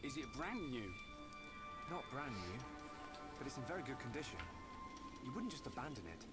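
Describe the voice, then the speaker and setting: Man acting a lively young adventurer, music behind. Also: mid-range voice, normal speed, close to an everyday voice. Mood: puzzled. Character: earnest, inquisitive young male adventurer. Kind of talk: video game cutscene acting. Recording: game audio under loud music. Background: loud game music.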